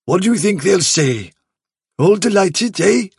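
An old chief rabbit from a storybook acted out by a man, slightly husky and closely recorded. He is courteous to a fault, vague and condescending, drawing out his phrases to put off whoever he addresses. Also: high-pitched, animated, no room sound, studio quality, voice pushed up, exaggerated voice. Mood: condescending, evasive. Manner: bumbling, over-courteous, drawn-out patronising phrasing. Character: elderly male Chief Rabbit, politely condescending, vague and evasive.

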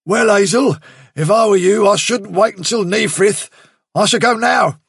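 남자가 연기하는 다급하고 거친 수컷 토끼. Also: male voice, sits high, moderate pace, vocal fry, husky, no room sound, studio quality, voice pushed up, full character voice. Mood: urgent. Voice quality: forceful and blunt. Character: brash, bold young buck rabbit.

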